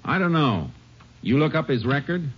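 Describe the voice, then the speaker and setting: An actor playing a calm, methodical American lawman in an old-time radio drama, speaking in a dry, courteous, folksy way. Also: on the high side, normal speed, husky. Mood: thoughtful. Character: calm, methodical male Texas lawman investigating a murder. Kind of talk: old-time radio drama acting and first-person narration. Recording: muffled vintage radio recording with music.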